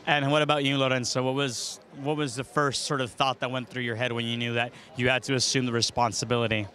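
A man's voice: medium pitch, flat delivery, quick pace, bright, forceful tone. A man in his thirties, interviewing guests with a handheld mic in a large indoor arena, upbeat and chatty. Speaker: event interviewer. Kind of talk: live event interview.

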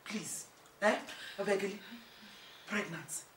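A woman's voice: medium pitch, slow pace, lightly acted. An actress playing an elderly, fiery, aggrieved mother-in-law in a film melodrama, with a Nigerian Igbo accent and an emphatic, repetitive delivery. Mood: amazed, contrite. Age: older. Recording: roomy, distant mic with loud noise.

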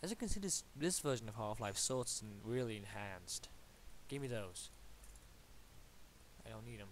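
A man's voice: fairly low pitch, lively intonation, moderate pace, slight background noise. A young man from Bulgaria with a Bulgarian accent, casually commentating his game at home, rambling and swearing playfully at enemies. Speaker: gamer commentating his play. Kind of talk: casual let's-play commentary. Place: at home at a computer. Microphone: awkwardly placed desktop mic.

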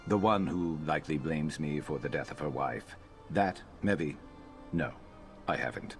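A very deep-voiced, brooding beast-folk mercenary, speaking in terse deadpan lines like a guarded fantasy game companion. His delivery is flat and slightly robotic, with music playing behind him. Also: normal speed. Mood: dry, bitter. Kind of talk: scripted fantasy video game dialogue.

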